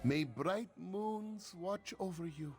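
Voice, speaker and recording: A woman's voice: lowish voice, takes it slowly, darkish tone, husky, faint music behind, voice pushed down, exaggerated voice. A woman voicing a doting, anxious Khajiit cat-person father in a fantasy game roleplay, crooning and speaking of himself in the third person. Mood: fond, tender.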